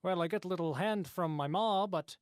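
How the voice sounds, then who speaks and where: A man's voice: high voice, a little fast, no room sound, clean studio sound, close to an everyday voice. A man playing a chatty, eccentric market farmer in a radio comedy sketch, rambling in a folksy, matter-of-fact way. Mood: folksy sales patter.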